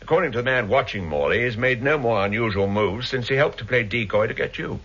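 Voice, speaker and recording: An actor playing an authoritative senior police chief with a clipped official manner, in 1960s radio drama style. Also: male voice, medium pitch, expressive, quick pace, fairly bright tone. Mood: matter-of-fact. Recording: muffled vintage radio recording.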